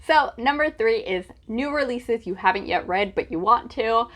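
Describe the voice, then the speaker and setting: A woman's voice: on the high side, animated, normal speed, fairly bright tone. A woman talking casually as a book vlogger, informal and gushing, with lots of filler asides. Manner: informal, hesitant with frequent "like" asides, gushing emphasis. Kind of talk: casual chatty book vlog.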